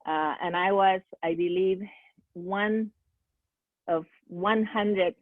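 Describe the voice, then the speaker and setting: A woman from Peru hosting a question-and-answer session over a video call, speaking haltingly with many fillers and restarts. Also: medium pitch, fairly even intonation, no room sound. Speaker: seminar host. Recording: tinny phone-like call audio.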